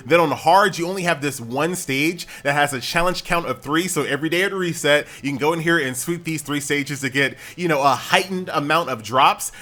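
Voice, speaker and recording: Bright-voiced American man doing casual game commentary. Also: a little high. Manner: informal, conversational, chatty. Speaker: gaming YouTuber.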